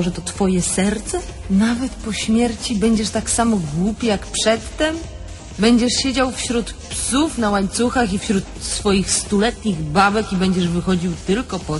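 An actress playing the mocking, blunt and crude-tongued soul of a dead young thief in a theatrical tragifarce. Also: female voice, on the low side, a little mellow, natural voice. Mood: scornful.

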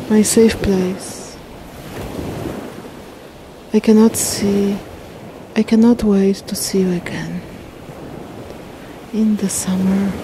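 A woman leads a guided meditation at a very slow pace, leaving long pauses between short phrases. Her delivery is soothing and sits at a medium pitch. A clear wash of ocean waves and wind runs behind her voice.

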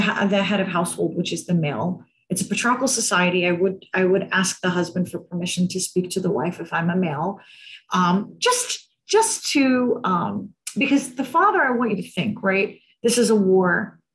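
A woman's voice: mid-range voice, expressive, normal speed, poor audio. A woman giving an explanatory talk over a video call in a conversational manner, checking in with listeners as she goes. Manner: conversational, seeks agreement with tag questions. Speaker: presenter, cultural trainer. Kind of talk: explanatory slide presentation to an audience. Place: indoor room on a video call. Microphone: video call microphone.

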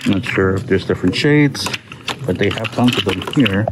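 A man with a slightly low voice talks casually through a shopping walkthrough, upbeat and full of little fillers as he reads labels aloud. He records on a handheld phone inside a big store, with a clear hum of shop noise around him. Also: moderate pace. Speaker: shopping vlog host. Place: inside a large discount store. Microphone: handheld phone camera. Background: store ambience.

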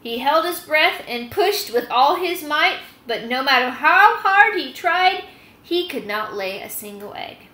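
A woman's voice: sits high, lively intonation, a little slow, sharp tone. A woman in her thirties reads a storybook aloud and gives instructions to children, recorded at home on a laptop. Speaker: host reading aloud to children. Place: small indoor room at home. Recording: roomy, faintly noisy webcam recording.

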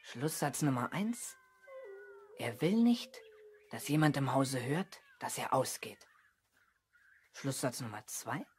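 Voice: medium pitch, lively intonation, slow, pauses often, a little mellow, husky, full character voice. A young performer playing an eager, self-important boy detective in a 1970s German children's radio play, earnestly reasoning aloud. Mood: secretive musing. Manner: earnest, deductive, musing aloud.

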